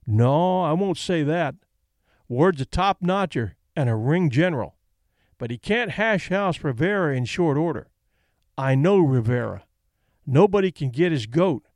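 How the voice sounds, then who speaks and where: Man putting on a drawling old-school fight trainer, slow and loose, lively pitch, dry sound. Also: dry recording. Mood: easygoing. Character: slow-drawling lanky male boxing trainer.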